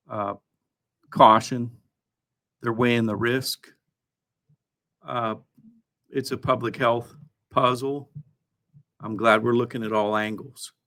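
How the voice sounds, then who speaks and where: A man hosting a news countdown, part reading and part ad-libbing his commentary in a halting way, with wry asides. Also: medium pitch, fairly bright tone. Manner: halting, with frequent throat clearing and wry asides.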